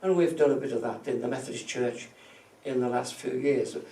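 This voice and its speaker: Guy preaching a reflective sermon, measured delivery, bit far from the mic in a really echoey space. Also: medium pitch, warm tone. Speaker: preacher, church minister. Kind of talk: reflective sermon from prepared notes. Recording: echoey room, distant microphone.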